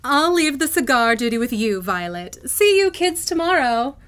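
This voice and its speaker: A woman playing a jovial, teasing studio colleague in a live old-time radio drama, calling out in drawn-out phrases. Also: high voice, animated. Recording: live stage recording with faint noise.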